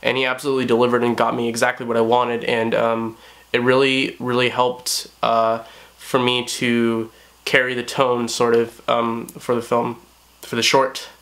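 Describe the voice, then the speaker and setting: A young man with a hoarse voice, talking casually to the camera in a small bedroom, rambling with many fillers. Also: medium pitch, monotone, moderate pace, a bit bright. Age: young adult. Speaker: vlogger. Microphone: camera on tripod at close range.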